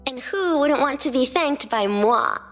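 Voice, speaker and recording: Spirited teen heroine, played by a woman, high-pitched and swooping, proud and easily flustered, for story audio over music. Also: unhurried, heavily acted. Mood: teasing. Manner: animated, playful, theatrical. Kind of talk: acted dialogue in a fan fiction reading.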